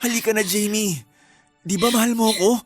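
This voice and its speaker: A man's voice: high-pitched, fairly quick, no room sound, faint music behind. Desperate, pleading lovestruck man. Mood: impassioned, urgent. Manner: pleading, earnest. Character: desperate, lovestruck groom begging his lover to run away. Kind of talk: Filipino radio-style romantic drama acting.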